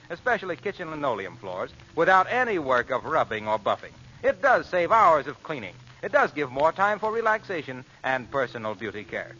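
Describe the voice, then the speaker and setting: A man's voice: on the high side, sharp tone, highs cut off. A man working as a radio announcer, reading a 1940s commercial with polished, earnest salesmanship. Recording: band-limited old radio recording.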